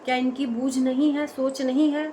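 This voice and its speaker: A woman's voice: high-pitched, level tone, normal speed, dark tone. A woman from India giving opinionated vlog commentary in an emphatic way, pressing her points with rhetorical questions. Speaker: online commentator. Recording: noticeable background noise.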